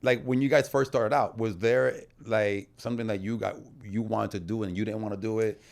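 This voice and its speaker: Man, lowish voice, casual interviewer, slangy, informal, frequent interjections. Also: steady tone, fairly quick, gravelly. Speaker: podcast host, interviewer.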